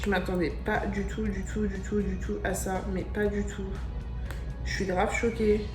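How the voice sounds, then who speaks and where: An excitable young woman from France talks straight to camera in a casual vlog, piling up slangy exclamations. Her voice rings in an echoey room, with faint music underneath. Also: medium pitch, moderate pace. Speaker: vlogger.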